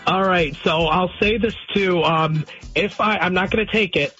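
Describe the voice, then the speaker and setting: A man's voice: sits high, sharp tone, muffled recording, faint music behind. A man calling in to a sports radio show, rambling and repeating himself as he gives his takes. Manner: rambling, halting, repeats words. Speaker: caller. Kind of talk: call-in chat.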